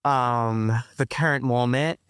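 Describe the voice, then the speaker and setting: A woman's voice: very deep voice, slow pace, clear, resonant tone, dry recording, clean studio sound. A woman guest on a podcast interview, speaking thoughtfully with frequent fillers and restarts, laughing now and then.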